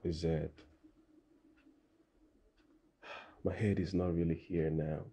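Casting: a man as an evasive boyfriend, deep and dark-toned, defensive, dodging every question. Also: flat delivery, moderate pace, slightly rough. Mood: reluctant. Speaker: boyfriend under pressure.

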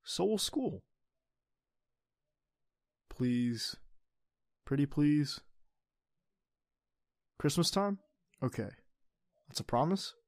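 A man's voice: on the high side, lively intonation, extremely slow, long pauses, no room sound, full character voice. Affectionate young boyfriend, acted by a man, close and dry. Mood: playful, coaxing. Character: affectionate teenage boyfriend, playful and tender. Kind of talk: ASMR boyfriend roleplay acting.

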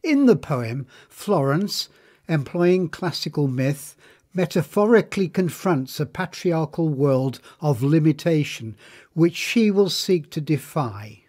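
A man reading a scripted documentary narration in a formal, measured manner. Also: on the high side, expressive, darkish tone.

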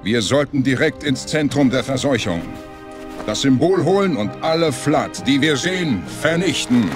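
A man voicing a proud alien warrior commander in a video game, formal, forceful and military. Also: medium pitch, animated, normal speed, over loud music, lightly acted. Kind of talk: cinematic video game acting.

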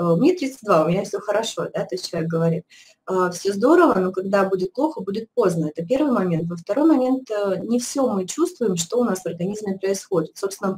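A woman's voice: mid-range voice, a little mellow, low-quality recording. A middle-aged woman giving a persuasive webinar presentation from an office over a webcam, with many fillers and restarts. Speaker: product presenter. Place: indoor office, video call.

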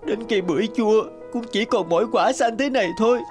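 A man playing an honest, sorrowful young peasant son in an animated folk tale, speaking plaintively and humbly. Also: sits high, quick pace, music in the background, close to an everyday voice. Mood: anguished.

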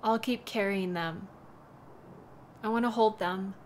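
Audio drama lead: actress as devoted young woman, worried, tender, slow delivery, light ambient noise behind. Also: medium pitch, a little mellow, natural voice. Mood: weary, protective. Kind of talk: audio roleplay drama, intimate monologue.

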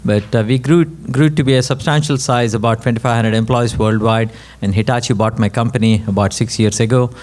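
A man's voice: medium pitch, fairly even intonation, a little fast, hardly any pauses, a little mellow. A man in his fifties, an entrepreneur speaking steadily with many uhs on a conference panel. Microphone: stand mic.

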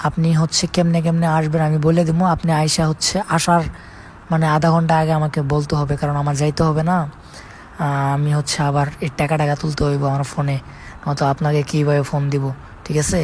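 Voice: mid-range voice, monotone, a little fast, a little mellow, a little hiss or hum. A speaker with a Bangladeshi accent in a casual phone conversation, insistently checking again and again that the listener follows.

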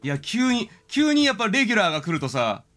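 A man's voice: high-pitched, fast, soft background music. A man doing casual game commentary as a streamer, exclaiming and talking to himself as he plays. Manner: animated, exclamatory, talks to himself.

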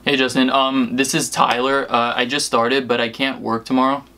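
Bright-voiced young American man, rambling casually. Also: mid-range voice, flat delivery, normal speed, slight background noise. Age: young adult. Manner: hesitant, rambling, heavy use of "like". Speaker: prank-call vlogger. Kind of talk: casual chat to camera and on phone calls.

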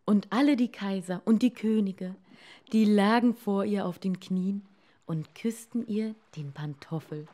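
Female storyteller for bedtime fairy tales: mid-pitched, slow, expressive, deliberate pauses. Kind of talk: bedtime fairy-tale narration.

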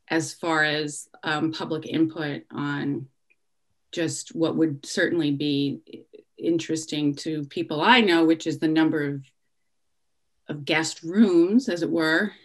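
A middle-aged woman with a low voice speaks up at a council hearing through her laptop webcam at home. She talks hesitantly and stops often to rephrase her questions. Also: fairly even intonation. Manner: hesitant, halting, many fillers and restarts. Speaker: resident giving public comment. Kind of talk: public comment on a video call. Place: home room indoors. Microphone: laptop webcam on a video call.

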